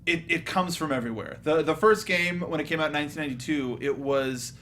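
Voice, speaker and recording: High-voiced man hosting a film panel. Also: fast talker, a bit bright, slight background noise. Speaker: host. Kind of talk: casual film review panel talk.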